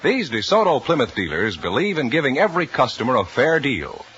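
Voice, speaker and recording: A man working as a radio announcer, reading an ad and the game rules in a crisp, booming delivery. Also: very animated, fairly quick, fairly bright tone. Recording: dull old radio recording.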